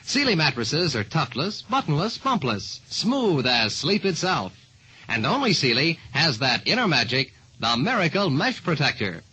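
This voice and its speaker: A man's voice: fairly high pitch, sing-song, moderate pace, sharp tone, slightly rough, low-quality recording. A man reading a vintage radio commercial as announcer, in an emphatic salesman's delivery. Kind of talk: 1940s radio commercial pitch.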